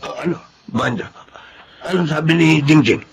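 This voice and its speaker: An actor playing a grave, admonishing husband in a Filipino audio drama. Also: male voice, fairly high pitch, normal speed, creaky, noisy background. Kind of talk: Filipino radio drama acting.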